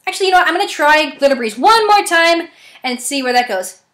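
Amateur female voice actor, high-pitched, giggly and self-mocking, chatting off the cuff. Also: expressive, fast talker, fairly bright tone. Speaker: amateur voice actor recording an audition. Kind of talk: casual chat between takes.

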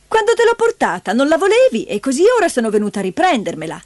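A woman voicing a bossy, inflexible aunt in a storybook, brisk, scolding and insistent, with music behind her. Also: high-pitched, wildly swooping pitch, darkish tone, no echo, voice pushed up. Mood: demanding.

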